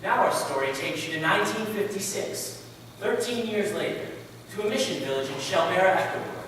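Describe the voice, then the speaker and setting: Man as earnest missionary pilot, high bright voice, declamatory stage narration heard from far off. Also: animated, fairly quick. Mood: solemn. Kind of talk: readers' theater recital, scripted narration. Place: large indoor recital hall, distant microphone. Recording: very echoey hall, distant, faint noise.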